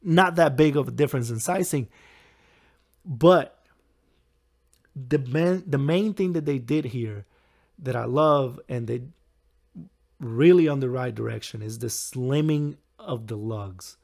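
Hoarse young man, solo podcast host, halting restarts, chuckles between thoughts. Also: mid-range voice, darkish tone. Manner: rambling, halting, frequent restarts; chuckles often. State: hoarse, recovering voice. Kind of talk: casual solo podcast talk.

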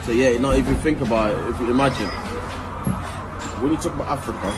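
A man's voice: medium pitch, quick pace, low-quality recording. A man with an Ivorian accent explaining in a casual talk, repeating himself and often checking "you know what I'm saying". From Ivory Coast. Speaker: guest designer. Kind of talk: casual conversation.

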